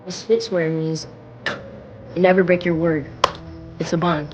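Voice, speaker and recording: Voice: medium pitch, little pitch movement, unhurried, darkish tone, soft background music, lightly acted. A young actor playing a blunt, earnest schoolboy in screen drama dialogue. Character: blunt, earnest American schoolboy among friends.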